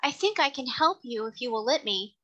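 Gentle persuasive lady in a storybook, higher bright voice, read by a woman. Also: expressive, fairly quick, highs cut off. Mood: gently persuasive. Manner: polite, earnest pleading. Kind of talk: audiobook character reading.

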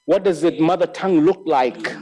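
A man from Lesotho talks slowly through his ideas in a lecture hall, his voice mellow and a touch higher than most men's. He stumbles over repeated words and restarts often as he searches for the right phrasing, speaking into a desk microphone. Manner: halting, many repeated words and restarts, gestures with hands. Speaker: filmmaker giving a master class. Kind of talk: reflective spoken lecture. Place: large bright hall with tall windows. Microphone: desk microphone on a stand.

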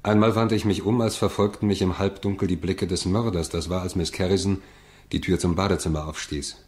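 An actor as a deep-voiced crime-story narrator. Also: male voice, fairly even intonation, brisk, a little mellow, close to an everyday voice. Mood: sober, suspenseful. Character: composed male law-office junior narrating a crime story. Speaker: narrator and character in a radio play. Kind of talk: scripted dramatic narration and dialogue.

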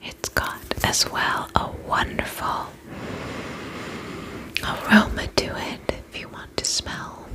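A woman whispering in an ASMR role-play as a spa attendant, gentle, soothing and reassuring, speaking in short phrases with pauses. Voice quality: unvoiced whisper.

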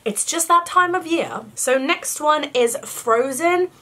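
A young woman with a croaky voice and a cough, chatting casually through a product haul in her bedroom. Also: sits high, takes it slowly, bright, forceful tone. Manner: chatty, rambling, lots of fillers. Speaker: beauty vlogger. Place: bedroom, indoors.

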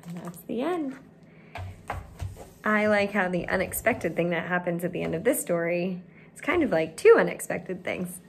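A woman's voice: on the low side, expressive, moderate pace, gravelly, slight background noise. A woman in her thirties reads a children's picture book aloud at home, speaking simply and expressively to young listeners. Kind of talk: children's storybook read-aloud. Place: indoors, small room at home.

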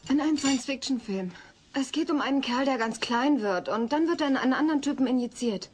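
A woman's voice: sits high, fast talker, darkish tone, natural voice. A woman playing a youthful daughter of the family in a German comedy audio drama. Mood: casual. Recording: old cassette audio drama, music behind.

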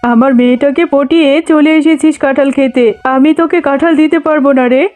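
High-voiced, haughty tigress aunt, woman acting. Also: steady tone, a little fast, a little mellow, lightly acted. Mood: scornful. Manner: scornful, grudging. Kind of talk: cartoon voice acting. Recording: music under the voice.

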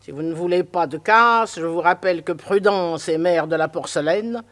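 A woman's voice: on the low side, moderate pace, fairly bright tone, close to an everyday voice. A woman playing a prudish, scolding old spinster neighbor in a French radio drama. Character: prim nosy old spinster, moralizing neighbor.

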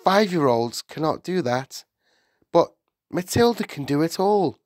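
Man putting on an earnest, resolute lady schoolteacher, higher pitch. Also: lively intonation, a little slow, dry recording. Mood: composed, earnest. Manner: earnest, polite, firm. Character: slim young woman schoolteacher, polite but resolute.

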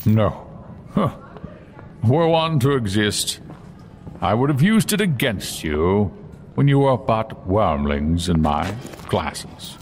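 A man voicing a stern dragon teacher, grave and very slow, with a low but expressive voice. It is fantasy audio drama. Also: a little hiss or hum, heavily acted. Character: stern male dragon teacher in human form. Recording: clear background ambience under voice.

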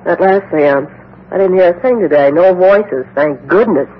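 A woman's voice: lowish voice, moderate pace, close to an everyday voice. A woman playing a hard-bitten, defensive phony medium in a 1950s radio drama, with brassy, slangy delivery. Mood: dismissive. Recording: muffled, hissy 1950s radio recording.

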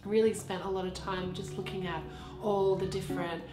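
A croaky woman with a cold narrates her unboxing vlog in a relaxed, chatty way, gushing over every detail of the packaging. Her voice carries a roomy echo, and music plays clearly behind her. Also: lowish voice, moderate pace. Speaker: vlogger. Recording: roomy sound with background music.

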